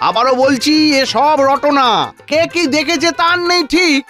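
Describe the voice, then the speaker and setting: A man's voice: extremely high pitch, measured pace, pushed and bright, soft background music, exaggerated voice. A man voicing a scheming, spiteful royal minister in a folktale cartoon, haughty and conniving. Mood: exasperated.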